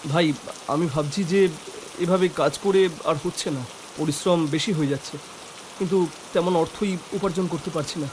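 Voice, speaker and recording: A man's voice: fairly high pitch, a little fast, pauses often, slight background noise, close to an everyday voice. A man voicing an earnest, hardworking village elder brother in a cartoon moral story. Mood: weary.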